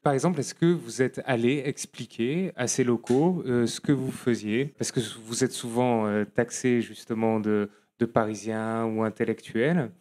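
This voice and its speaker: A man interviewing a guest, asking long questions with halting phrasing and fillers as he searches for his words. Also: medium pitch, steady tone, darkish tone. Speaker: interviewer, journalist.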